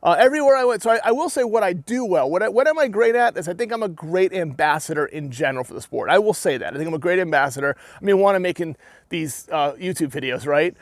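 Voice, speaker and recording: A man's voice: high-pitched, lively intonation, quick pace, no room sound, studio quality. A young adult man with a New Hampshire accent, an armored combat fighter giving a casual, earnest rant to his video audience with many restarts. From New England. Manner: rambling and earnest, with frequent restarts and "right?" tags. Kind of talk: casual talking-to-camera rant.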